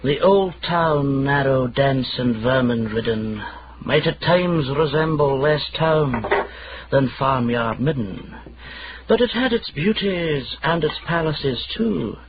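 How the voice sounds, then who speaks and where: Slow, declamatory poet narrator, muffled. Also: male voice, medium pitch. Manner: halting, self-correcting, declamatory. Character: wry verse-reciting poet narrator of old Edinburgh. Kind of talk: theatrical verse recitation in radio drama.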